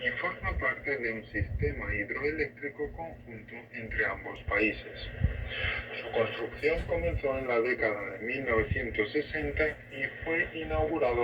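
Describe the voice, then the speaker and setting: A man presenting a scripted informational narration on shortwave radio. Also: mid-range voice. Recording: dull, distant, noisy shortwave radio reception. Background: shortwave static noise.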